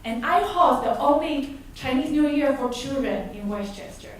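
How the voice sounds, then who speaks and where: A woman who grew up in Argentina gives an earnest, halting public comment at a town meeting, restarting her sentences often. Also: sits high, lively intonation. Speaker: resident speaking at a public hearing. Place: large echoey meeting hall. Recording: echoey hall, distant mic, some noise.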